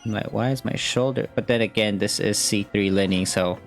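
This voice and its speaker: Male gaming host, lowish voice, informal and slangy, casual commentary over faint game music. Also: fairly quick. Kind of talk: casual gameplay commentary, reading game text aloud.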